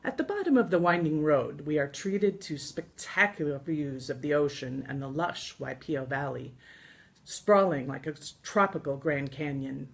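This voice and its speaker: An American woman reading her own scripted travel memoir aloud in an expressive storytelling manner, with deliberate pauses. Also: deep voice, gravelly. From United States. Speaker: author reading from own book.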